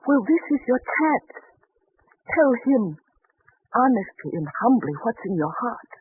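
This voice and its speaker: Tender worried mom in a 40s bible radio play, high voice, tinny narrow old recording. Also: female voice, sing-song, measured pace, husky, heavily acted. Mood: steadfast, urging. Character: devout, anxious mother, steadfast in her faith. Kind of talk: 1940s radio drama acting. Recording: noisy, narrow-band 1940s radio recording.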